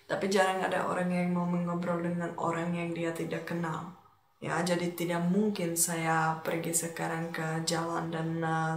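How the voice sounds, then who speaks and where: A woman's voice: fairly low pitch, vocal fry, a little hiss or hum. A Czech woman with a Czech accent talking casually to viewers as a vlogger, often hesitating as she searches for words in a language that is not her native one. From Czech Republic. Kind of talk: casual personal talk to viewers. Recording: echoey, roomy sound.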